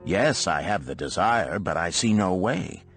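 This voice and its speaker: A man acts out a captive man from an ancient storybook parable, earnest and proud. His voice is low and his words are formal, over faint music. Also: animated, measured pace, a bit bright, full character voice. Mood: defensive. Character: earnest, eager enslaved Babylonian man, proud of free birth.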